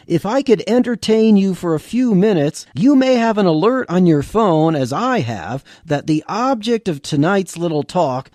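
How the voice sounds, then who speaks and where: A man voicing a calm know-it-all who explains things patiently in an audio story. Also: high-pitched, no room sound, clean studio sound. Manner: explanatory, measured.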